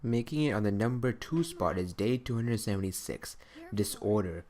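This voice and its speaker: A man's voice: lowish voice, expressive, moderate pace, dark tone. A young man giving casual, rambling gaming commentary as he counts down his favourites, often putting questions to himself. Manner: rambling, self-questioning, conversational. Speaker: video creator counting down favourites.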